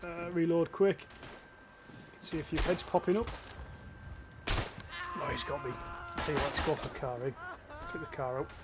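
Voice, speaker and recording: A man's voice: fairly high pitch, wildly swooping pitch, moderate pace, long pauses, noisy background. A young British man with a northern English accent, casually commentating his gameplay livestream, swearing offhand and reading chat comments. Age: young adult. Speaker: streamer.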